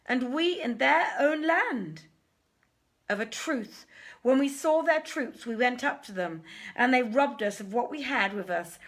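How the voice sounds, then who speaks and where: A woman acts out aggrieved merchant men from a storybook, humbly beseeching a king. The voice is raised high and loud, declamatory and imploring, with an unhurried delivery. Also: heavily acted. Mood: aggrieved pleading. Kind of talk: storybook character reading.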